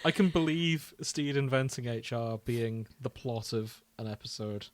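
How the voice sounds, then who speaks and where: Male podcast co-host, medium pitch, dry recording, casual banter and read-alouds, prone to laughter. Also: unhurried, dry recording. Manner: jokey, animated reactions, often laughing. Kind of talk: casual banter, reading fan fiction aloud.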